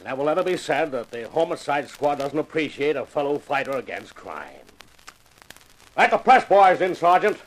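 An actor playing a gruff, mocking homicide inspector in 1950s radio drama style. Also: male voice, fairly high pitch, very animated, normal speed, low-quality recording. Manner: mocking, heavily sarcastic, needling. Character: gruff sarcastic homicide police inspector, taunting.

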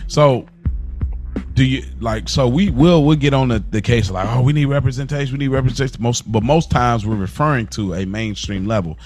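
A man's voice: medium pitch, brisk, darkish tone, faint music behind. A man co-hosting a casual podcast, speaking earnestly and reflectively in informal, slangy language. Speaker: podcast co-host.

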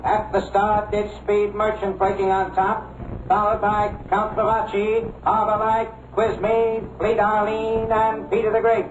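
An actor playing an urgent horse-race track announcer, chanting out the calls in an old-time radio drama. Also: male voice, high voice, monotone, a little slow, soft background music. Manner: chanting, list-like calling. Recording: dull, very noisy vintage radio recording.

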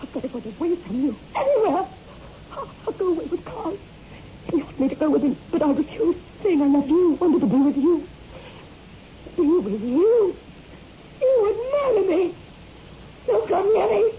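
An actress playing a frightened, accusing wife in a 1960s radio horror drama, with emphatic, melodramatic delivery. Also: female voice, very high voice, expressive, moderate pace, long pauses. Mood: terrified, accusing. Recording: muffled, noisy old radio recording.

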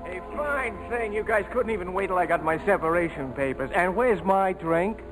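A man's voice: sits high, lively intonation, moderate pace, low-quality recording. An actor playing a breezy young American ex-serviceman in a 1940s radio drama, with a boyish, bantering manner. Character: breezy young American college-boy war veteran. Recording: vintage 1940s radio, music behind.

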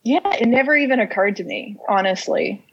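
A young woman's mid-pitched voice with a Kentucky accent, a little muffled by lo-fi recording. She talks as an interview guest, telling her own story in a plain-spoken, unpretentious, conversational way. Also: fairly quick, slightly rough. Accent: American, Kentucky. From Casey County, Kentucky, United States. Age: young adult. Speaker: interview guest, whiskey industry professional.

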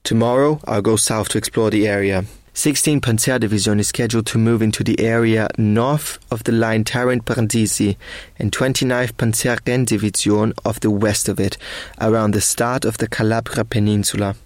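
Wartime audio drama: man to play German officer diarist, dry and matter-of-fact, fairly deep, very dry acoustic. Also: steady tone, moderate pace, a little mellow, no room sound, natural voice. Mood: sober, matter-of-fact. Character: weary, sceptical German army officer writing a war diary. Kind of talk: read-aloud wartime diary, measured dramatic narration.

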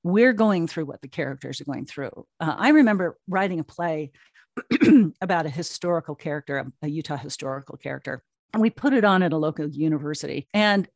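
A woman's voice: sits low, lively intonation, a little fast, darkish tone, dry recording, clean studio sound. A woman speaking as an interview guest, answering conversationally and telling stories with emphatic repetition. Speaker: guest, audio drama platform co-founder.

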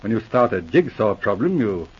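An actor playing a patient, methodical Mountie sergeant who teases dryly, in 1940s radio acting. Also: male voice, lowish voice, sing-song, moderate pace, slightly rough. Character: methodical, dry-witted male Mountie sergeant. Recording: dull lo-fi old radio broadcast.

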